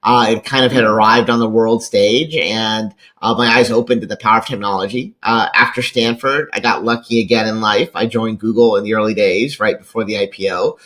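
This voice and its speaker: A man's voice: fairly low pitch, steady tone, fairly quick, hardly any pauses, fairly bright tone, rough recording. A man in his forties with an American accent talks conversationally as an interview guest, enthusiastic and often checking his points with a tag question. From rural Kentucky, United States. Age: 40s. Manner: enthusiastic, peppers points with "right?". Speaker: podcast guest, venture capitalist and lecturer.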